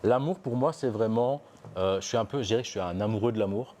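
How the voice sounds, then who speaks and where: A man's voice: lowish voice, fast, warm tone, slight background noise. A young man giving a personal testimony, reflective and hesitant, with many fillers and restarts. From Belgium. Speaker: interviewee.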